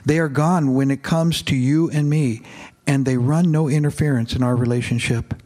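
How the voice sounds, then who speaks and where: A man reading aloud in the voice of God as a fatherly figure, calm, gentle and patient. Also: medium pitch, moderate pace, natural voice.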